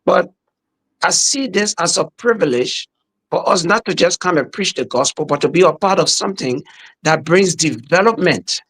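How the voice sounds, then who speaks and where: A man's voice: high voice, expressive, moderate pace, a bit bright, slightly rough. A middle-aged man with a Liberian accent, a minister speaking earnestly in a casual podcast discussion. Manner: earnest, patriotic, repeats words as he talks.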